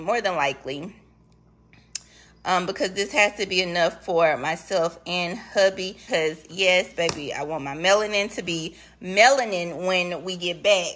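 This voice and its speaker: A woman's voice: medium pitch, a bit bright. A woman in her thirties chatting casually to her viewers, filming from behind the camera in her home kitchen. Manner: chatty, talks straight to viewers, says y'all often. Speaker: vlogger doing a grocery haul. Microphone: handheld camera, talking from behind it.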